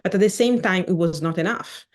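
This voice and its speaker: A woman's voice: lowish voice, fairly quick. Swiss-raised woman, earnest non-native english. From Switzerland. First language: not English. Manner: earnest, animated, with frequent restarts and non-native grammar. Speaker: podcast guest. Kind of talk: casual interview chat.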